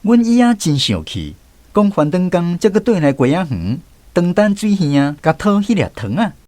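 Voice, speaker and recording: A man narrating a story in a gentle, nostalgic, unhurried way. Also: high-pitched, very animated. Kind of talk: scripted storytelling narration, reminiscing. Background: clear background music.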